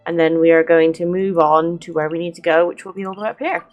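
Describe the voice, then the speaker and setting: A woman's voice: lowish voice, fairly even intonation, fast, lo-fi sound, soft background music. A woman hosting a gaming playthrough, commenting casually in an informal, chatty way. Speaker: gaming channel host. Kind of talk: casual let's play commentary.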